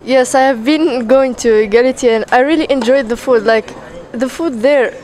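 A young woman from Tunisia answers courtside questions, pausing often. Her voice sits fairly high. The setting is an outdoor tennis venue. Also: darkish tone. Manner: hesitant, with many fillers. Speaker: junior tennis player. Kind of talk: casual interview answers.

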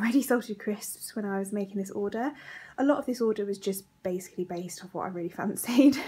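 A woman's voice: a little high, dark tone, a little hiss or hum. A young woman chatting casually through a grocery haul vlog, laughing lightly between items, filmed on a phone at arm's length in a living room. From England. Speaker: vlogger. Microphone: phone camera at arm's length.

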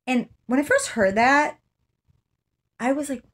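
A woman's voice: sits high, a little slow, fairly bright tone. A middle-aged woman giving casual true-crime commentary to camera, conversational and thinking aloud, recorded on a phone at arm's length in a room at home. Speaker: vlogger. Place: small room indoors, seated in an armchair.

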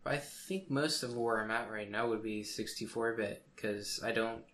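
A man's voice: fairly low pitch, moderate pace, fairly bright tone. A man co-hosting a casual tech podcast, answering with short wry remarks. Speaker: podcast co-host.

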